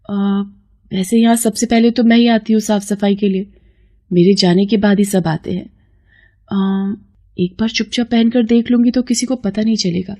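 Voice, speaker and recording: A woman voicing an earnest young woman in an animated moral story, timid and eager, sometimes stumbling over words. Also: medium pitch, normal speed, close to an everyday voice. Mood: wistful, admiring. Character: earnest, pleading young woman dreaming of modelling.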